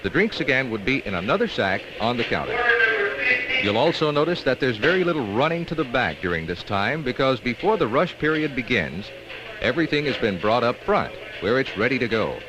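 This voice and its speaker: An American man reads scripted training film narration in an instructional way with measured emphasis, on an old 1960s film soundtrack. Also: mid-range voice, big pitch swings, very noisy.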